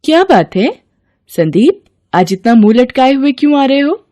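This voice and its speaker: A woman's voice: sits high, big pitch swings, normal speed, warm tone, pitched above the performer's own voice. A woman voicing a caring, worried mother in a storybook tale. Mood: concerned, coaxing. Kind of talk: storybook dialogue.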